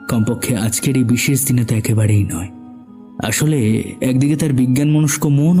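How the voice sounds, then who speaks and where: A man's voice: mid-range voice, dark tone. A man narrating a scripted suspense story as the narrator of an audio drama.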